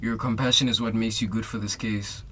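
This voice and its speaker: Young actor as steady male detective, South African accent, lowish pitch, supportive, radio drama. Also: a little fast, slight background noise. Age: young adult.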